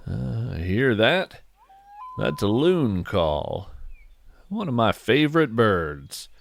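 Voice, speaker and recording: A man playing an earnest, wonder-struck naturalist, hosting a scripted fiction nature podcast in a gentle, folksy, lyrical manner. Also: fairly low pitch, sing-song, slow pace, creaky, a little hiss or hum, full character voice. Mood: hushed delight. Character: earnest, wonder-struck male naturalist who seeks cryptids. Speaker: podcast host.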